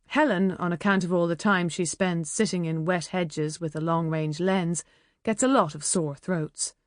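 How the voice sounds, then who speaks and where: A woman's voice: lowish voice, moderate pace, no echo, clean studio sound. A woman with an Irish accent narrating a first-person novel in a chatty, wry way. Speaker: audiobook narrator.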